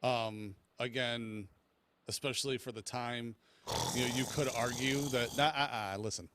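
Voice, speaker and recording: A man co-hosting a casual film podcast, explaining his views in a halting, measured way. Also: medium pitch, steady tone, noisy background. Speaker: podcast co-host.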